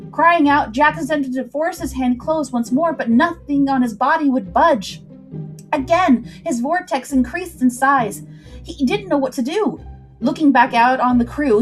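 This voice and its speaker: Fairly high-voiced woman narrating an adventure. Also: rough recording. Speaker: storyteller, livestream host. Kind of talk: dramatic scripted narration of an adventure story.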